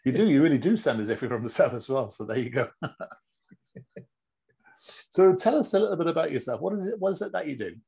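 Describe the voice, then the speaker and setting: A man's voice: a little high, animated, fast, gravelly, pauses often, mellow, slightly rough. A man, speaking as the host of an interview podcast. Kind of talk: scripted podcast introduction and interview.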